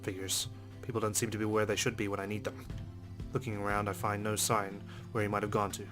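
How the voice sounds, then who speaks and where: Low, quick, even-toned male game narrator. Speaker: let's play host. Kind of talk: reading game narration aloud with casual asides. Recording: music behind the voice.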